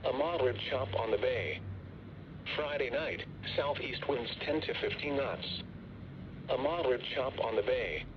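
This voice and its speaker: A man's voice: medium pitch, expressive, moderate pace. A synthetic male announcer reading automated weather forecasts over the radio in a formal, impersonal, segmented delivery. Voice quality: synthetic text-to-speech voice. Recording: noisy, dull weather radio broadcast.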